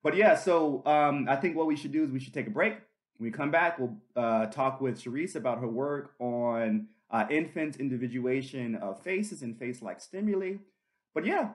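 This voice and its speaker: Middle-aged male host, earnest, halting with "uh"s, mid-pitched voice. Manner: earnest, with frequent fillers and restarts. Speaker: podcast host. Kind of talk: casual chat.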